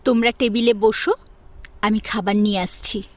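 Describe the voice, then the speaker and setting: A woman playing a self-respecting, quietly wounded young woman who is polite but pointed with her family in an audio story. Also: mid-range voice, flat delivery, normal speed, no echo, voice pushed down, natural voice. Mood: polite firmness. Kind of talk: Bengali audio story acting.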